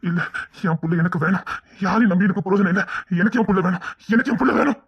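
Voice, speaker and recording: A man's voice: sits high, quick pace, husky, lo-fi sound. An actor playing a grieving father who weeps and pleads for his missing child, repeating himself over and over. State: crying. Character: grief-stricken father, desperate and stubborn. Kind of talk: tearful serial drama acting.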